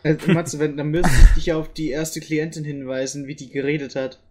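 A man with a somewhat high voice chats in a relaxed group conversation. He is a podcast co-host with a playful, colloquial manner. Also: moderate pace. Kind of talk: casual group chat.

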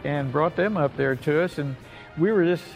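Elderly American male veteran, oral-history storyteller, plain-spoken and folksy, with a gravelly edge over a music bed. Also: on the high side, moderate pace, poor audio. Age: older. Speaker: World War II veteran recounting memories. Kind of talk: unscripted oral-history reminiscence.

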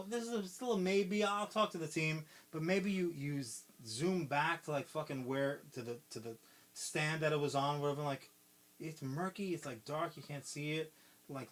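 A man with a voice pitched a touch higher than most, chatting loosely as a podcast co-host. He rambles through a story, swears freely and leans on fillers and restarts. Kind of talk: casual pitch-style movie retelling.

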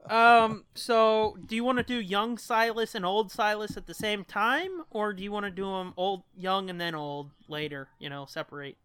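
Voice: mid-range voice, moderate pace, hardly any pauses, fairly bright tone, clear, resonant tone, no room sound. Profane podcast co-host, close dry sound. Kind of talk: casual chat.

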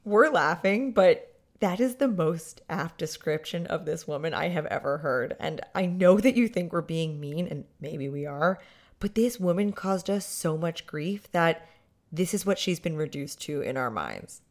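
A woman's voice: low voice, lively intonation, measured pace. A woman hosting a podcast, narrating a story in a dry, sardonic way.

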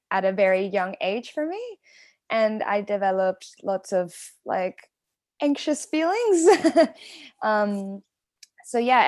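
A woman's voice: medium pitch, a little mellow. A woman in her twenties from Venezuela, a podcast guest who chats casually with shy giggles and hesitant fillers. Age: 20s. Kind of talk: casual interview chat.

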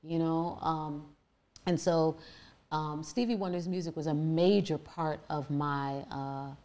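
A woman with a naturally deep, low-pitched voice speaks candidly about her own life. She talks emphatically and with conviction, stumbling into restarts and fillers as her thoughts rush ahead. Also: steady tone, slow pace, mellow. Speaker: guest. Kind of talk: candid personal storytelling.

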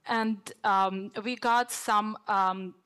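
Woman from Ukraine, English, panelist, halting with pauses. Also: medium pitch, steady tone, sharp tone, clear, resonant tone. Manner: halting, many hesitations. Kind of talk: policy seminar discussion.

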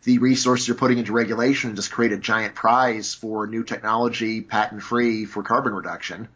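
A man's voice: lowish voice, steady tone, fairly quick, a bit bright, slightly rough. Opinionated man, filler-heavy talk, muffled audio. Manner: argumentative, lots of "you know" fillers. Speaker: interview guest. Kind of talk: conversational interview.

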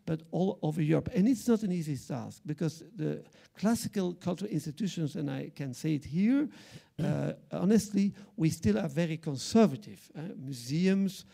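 Middle-aged Flemish-accented man, arts director at a panel; mellow, gravelly voice, halting delivery. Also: on the high side. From Belgium. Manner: halting, many fillers and restarts. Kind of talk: panel discussion remarks.

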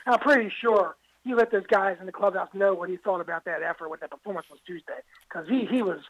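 A man's voice: high-pitched, fast, low-quality recording. A man co-hosting a sports radio show, discussing baseball casually and emphatically, often repeating his phrases. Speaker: sports radio co-host and reporter.

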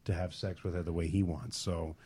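A man's voice: sits low, little pitch movement, fairly quick, a little mellow, slightly rough. A man chatting casually on a talk show panel, with a wry way of talking. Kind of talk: casual chat.

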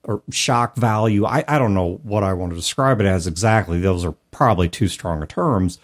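A man's voice: lowish voice, moderate pace. A man hosting a casual podcast discussion about tabletop gaming, explaining at length in a reflective way with hedging asides. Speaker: podcast host. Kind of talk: casual discussion of tabletop role-playing games.

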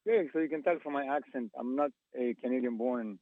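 A man's voice: mid-range voice, brisk, no room sound. A man from Lebanon with a Lebanese accent, calling in to a talk radio show and searching for his words. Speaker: caller. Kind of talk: phone-in call. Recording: phone line.

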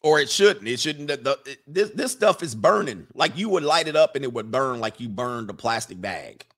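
A man's voice: mid-range voice, animated, moderate pace, a bit bright. A man hosting a livestream, rambling casually, reading chat comments aloud and laughing often. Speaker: livestream host.

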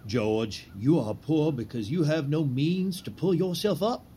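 An actor playing a zealous conspirator leader in a historical drama, speaking in grand, declamatory rhetoric. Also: male voice, on the high side, unhurried, warm tone, a little hiss or hum, close to an everyday voice. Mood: bitter, persuasive.

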